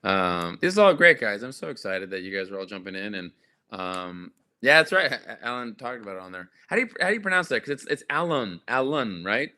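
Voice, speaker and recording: A man hosting a live chat with viewers, his voice a little raspy and quite bright and forward. He talks in a loose, rambling way, full of fillers and restarts, pitched somewhat low. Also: big pitch swings, brisk. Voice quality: slightly raspy. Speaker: livestream host.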